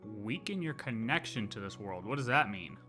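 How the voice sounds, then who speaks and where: A man's voice: fairly low pitch, lively intonation, moderate pace. A man giving casual live commentary on a game playthrough, musing and thinking aloud. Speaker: game streamer. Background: faint game music.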